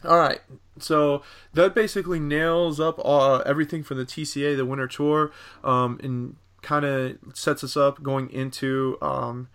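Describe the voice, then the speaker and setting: A man co-hosting a casual podcast discussion about entertainment, talking in a halting, rambling way. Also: a little high, steady tone, fairly bright tone, clear, resonant tone. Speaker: podcast co-host.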